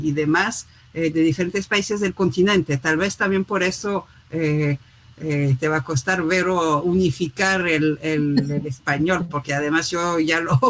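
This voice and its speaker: A woman author talking through her new book in a relaxed way, pausing often with fillers and restarts, heard over a video call. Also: mid-range voice. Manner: hesitant, many fillers and restarts. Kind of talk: casual spoken book presentation.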